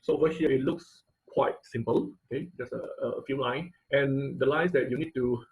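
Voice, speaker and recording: A man giving an informal live technical tutorial as an online class instructor, halting and repeating words, often checking in with "okay" and "right". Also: mid-range voice, fairly even intonation. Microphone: online video call.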